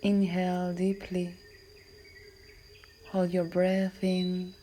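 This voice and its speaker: A woman yoga instructor guiding a class in a calm, measured, soothing voice that is soft and at times breathy. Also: lowish voice, a little hiss or hum. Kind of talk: guided yoga and relaxation instruction.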